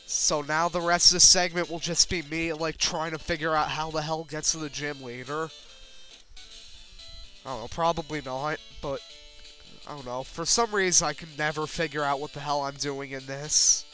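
A man's voice: fairly high pitch, fairly even intonation, moderate pace, sharp tone. A man giving casual, rambling video game commentary in a self-deprecating way, with casual swearing. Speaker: let's player. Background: video game music.

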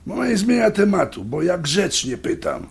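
A man playing an exasperated, suspicious grown son in an audio drama, speaking insistently in a raspy voice. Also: high voice, big pitch swings, normal speed, heavily acted. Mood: insistent, annoyed. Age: adult. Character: exasperated grown son confronting his scheming mother.

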